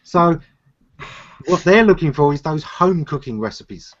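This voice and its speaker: A man hosting a live consulting show, calmly explaining marketing advice over a video call. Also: on the high side, unhurried. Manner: explanatory, steady. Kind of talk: advisory talk. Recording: slightly dull video call audio.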